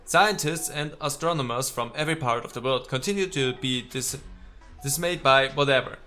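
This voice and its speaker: A man with a bright, forceful voice narrates a game as he plays, reading its text aloud and breaking off for sarcastic, exasperated remarks. His pitch sits in an ordinary male range, and faint music plays behind him. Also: moderate pace. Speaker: let's player.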